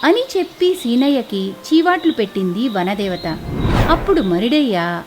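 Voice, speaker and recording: A woman's voice: fairly high pitch, sing-song, moderate pace, background noise. A woman narrating a scripted children's moral story as a storyteller.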